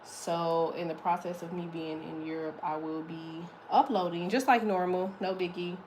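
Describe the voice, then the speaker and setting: Woman, deep and a little muffled, casual tarot reader, loose and profane, faint hiss behind. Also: steady tone, moderate pace, a little mellow. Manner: chatty, loose, swears casually.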